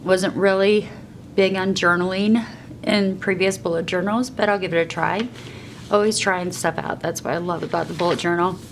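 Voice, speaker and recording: A woman's voice: fairly low pitch, steady tone, normal speed. A young adult woman teacher with a stuffed-up nose from a cold, chatting casually with many fillers as she flips through her journal at home. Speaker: teacher and bullet journal vlogger. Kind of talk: casual flip-through narration. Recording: faint background noise.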